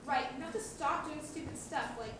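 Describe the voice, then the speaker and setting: A woman's voice: high-pitched, brisk, close to an everyday voice. A young woman playing a prim, businesslike nine-year-old girl who speaks formally in a student stage comedy. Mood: brisk, official. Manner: formal, official, precise. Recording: very echoey hall, far mic, noisy.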